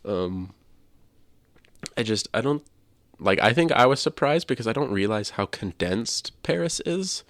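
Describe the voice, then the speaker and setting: A man's voice: medium pitch, lively intonation, measured pace, fairly bright tone, dry recording. A man with a stuffy nose, chatting casually as a podcast co-host. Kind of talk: casual chat.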